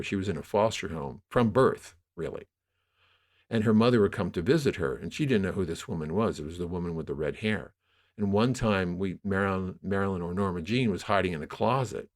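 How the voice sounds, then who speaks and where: A man speaking as an interview guest, explaining his production work conversationally and often rephrasing mid-sentence. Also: fairly low pitch. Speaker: podcast guest, audio drama producer.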